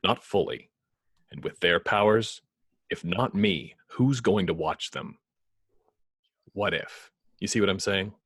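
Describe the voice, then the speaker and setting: A brooding, paranoid vigilante with a very low voice. He speaks slowly and tersely, every phrase ominous and deliberate. The superhero-script delivery is captured dry and close. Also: fairly bright tone, breathy edge, dry recording, full character voice. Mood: grim, paranoid. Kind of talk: table read of a superhero film script.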